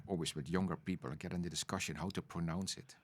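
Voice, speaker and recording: Low-voiced man, halting chat. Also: fairly even intonation. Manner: halting, many restarts and tag questions. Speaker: podcast co-host. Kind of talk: informal unscripted conversation.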